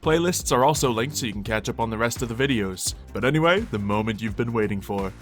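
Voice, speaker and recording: A male gaming YouTuber delivers a casual outro to his audience. His voice is mid-pitched, with faint music behind it. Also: expressive, quick pace. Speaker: video game YouTuber.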